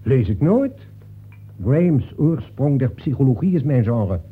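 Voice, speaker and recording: Through a very thin, phone-like radio recording comes a slightly husky, middle-pitched detective character. He is talkative and breezy. He nags like an old pal in a radio play. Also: very animated, unhurried. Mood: indignant. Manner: breezy, familiar, nagging. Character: talkative fictional detective come to life, chummy, reproachful. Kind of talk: Dutch radio play acting.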